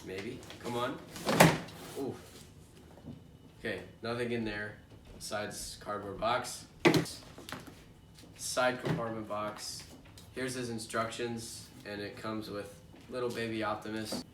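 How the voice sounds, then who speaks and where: A man's voice: lowish voice, steady tone, slow pace, pauses often. Congested young man in an echoey room. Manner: rambling and chatty with frequent fillers. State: congested from allergies. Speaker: toy unboxing host. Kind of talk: casual unboxing chat. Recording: echoey room, distant mic, noisy background.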